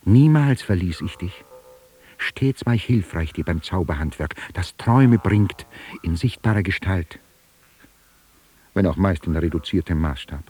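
An actor playing a boastful, taunting djinn in a literary radio drama, declaiming theatrically in a husky voice. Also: male voice, low voice, expressive, unhurried, a little mellow, heavily acted. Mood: solemn, insistent. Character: boastful, taunting djinn, a conjured airy spirit.

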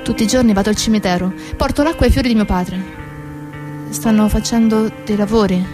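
An actress playing a bitter, grieving daughter in a theatrical monologue, speaking emphatically with deliberate pauses. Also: female voice, medium pitch, close to an everyday voice. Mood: anguished. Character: grieving, bitter daughter of a dead factory worker. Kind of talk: theatrical monologue over guitar. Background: acoustic guitar music.

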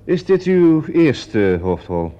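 Suave guarded man, mellow and lilting, polite dry delivery, muffled radio-drama sound. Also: a little high, big pitch swings, slow pace, clean tone. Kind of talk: radio play acting. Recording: muffled old radio play recording.

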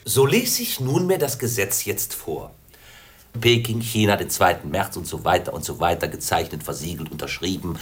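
An actor playing a pompous, bustling comic court official in a German radio play, rattling off formalities officiously. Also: male voice, sits low, expressive, moderate pace.

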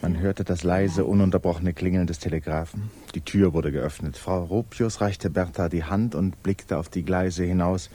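An actor narrating a literary 1950s German radio drama as a sober, observant storyteller. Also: male voice, low-pitched, steady tone, moderate pace, few pauses, mellow. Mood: calm, intimate.

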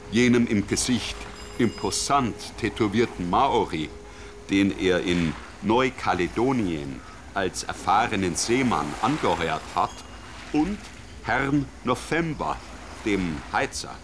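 A man reading literary narration for a radio drama in a deliberate, formal way. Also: lowish voice, lively intonation, music in the background. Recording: clear vehicle-like background noise.

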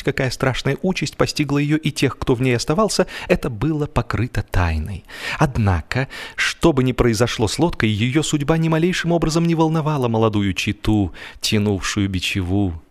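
A man with a fairly low voice reads humorous literary prose as an audiobook narrator. His storytelling is measured and expressive, with deliberate phrasing.

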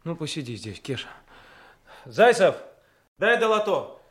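Gentle young trainee, echoey. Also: male voice, a little high, sing-song, a little slow. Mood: hesitant, gentle. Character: gentle, unsure young male police trainee. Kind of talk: Soviet radio drama acting. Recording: roomy, echoing radio play studio.

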